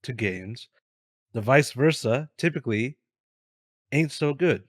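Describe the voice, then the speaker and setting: A man's voice: mid-range voice, animated, slow pace, dry recording, studio quality. A man co-hosting a gaming show, giving opinions in loose, informal, slangy chat with some swearing. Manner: informal, says bro, swears. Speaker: co-host of gaming news show.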